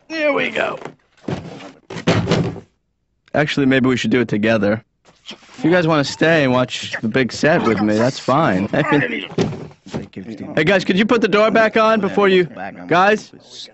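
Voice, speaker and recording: A man playing a boyish, excitable slacker son in an animated sitcom, rambling casually with mock grandeur. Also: on the high side. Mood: flustered. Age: young adult. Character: slacker grown son, boyish, smugly excited about gadgets. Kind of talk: improvised animated sitcom dialogue. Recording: muffled cartoon audio, background hiss.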